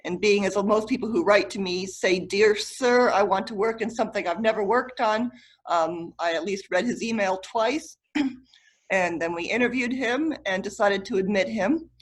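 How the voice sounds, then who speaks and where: A woman's voice: mid-range voice, moderate pace, poor audio. A middle-aged woman speaking as an academic committee chair, giving a semi-formal introduction over a video call. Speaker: committee chair and PhD advisor.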